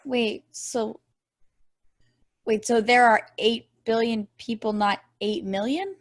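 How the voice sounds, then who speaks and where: A woman's voice: fairly high pitch, steady tone, takes it slowly. A woman playing an earnest, curious and easily puzzled space probe, hesitant and conversational, in a table read. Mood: confused. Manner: hesitant, questioning.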